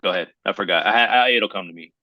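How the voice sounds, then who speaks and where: A man chatting casually as a podcast guest, excitable and often halting, repeating his words as he goes. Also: low-pitched, dry recording. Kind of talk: casual chat.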